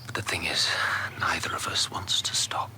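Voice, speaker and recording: An actor playing a stubborn, confiding married politician, clipped and restrained, in a radio drama. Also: male voice, normal speed, whispered, lightly acted. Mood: conflicted, stubborn. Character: married male politician, confiding, stubborn, guilty yet self-assured. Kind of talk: naturalistic radio drama acting.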